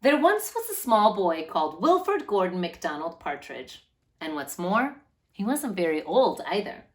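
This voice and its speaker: A woman reads a storybook aloud for young children. Her pitch moves up and down a great deal, giving the narration an animated, lilting, expressive feel. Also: normal speed. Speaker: children's read-aloud host.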